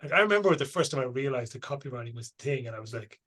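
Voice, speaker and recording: Husky-voiced male podcast host. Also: mid-range voice, racing. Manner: relaxed, swears casually, uses colloquial phrasing. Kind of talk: casual interview chat.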